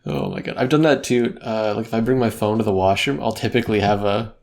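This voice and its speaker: A man's voice: fairly low pitch, quick pace, vocal fry, a little mellow. A man co-hosting a podcast in casual chat, teasing and cracking jokes with frequent laughs. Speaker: podcast co-host.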